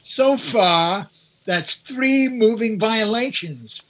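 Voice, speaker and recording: A woman's voice: on the high side, expressive, drawn-out words, exaggerated voice, poor audio. A woman playing a wry, officious police officer in 1940s-style radio comedy.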